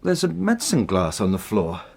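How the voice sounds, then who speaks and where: A man playing a grave, measured family doctor in a radio drama, with a clinical manner. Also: on the low side, huge pitch swings, creaky, husky, exaggerated voice. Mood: suspicious. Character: calm, grave, matter-of-fact family doctor.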